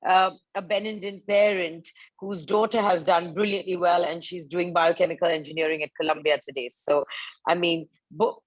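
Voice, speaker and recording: A woman's voice: on the low side, fairly even intonation, normal speed, low-quality recording. A woman from India co-hosting a webinar panel, affirming and effusive as she responds to the speakers and brings them in. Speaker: webinar co-host.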